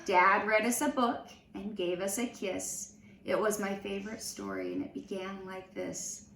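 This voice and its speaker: A woman reading a children's storybook aloud in an expressive, animated storytelling manner. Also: mid-range voice, creaky. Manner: slow, animated storytelling with acted voices. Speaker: storybook reader. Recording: roomy, distant mic with faint noise.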